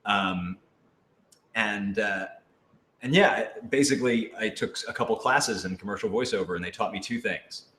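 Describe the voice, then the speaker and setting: Low-voiced young American man in echoey room. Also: normal speed, pushed and bright. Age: young adult. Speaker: voice actor on a radio drama. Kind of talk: casual chat, storytelling. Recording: echoey room with audible noise.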